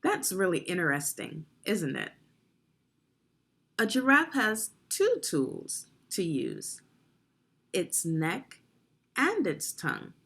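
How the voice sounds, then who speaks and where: Lively, husky woman reading aloud to children. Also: lowish voice, slow, long pauses. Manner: instructive, addresses young listeners with questions. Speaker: read-along narrator and reading teacher. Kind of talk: children's nonfiction read-aloud with guiding questions.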